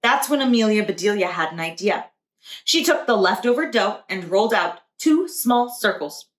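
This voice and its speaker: A woman's voice: medium pitch, lively intonation, normal speed. A woman, a preschool teacher, reading a children's storybook aloud. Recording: echoey room.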